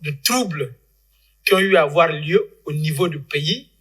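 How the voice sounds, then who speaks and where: A man's voice: fairly high pitch, expressive, unhurried, fairly bright tone. A man speaks as a panel guest. He gives unscripted political commentary.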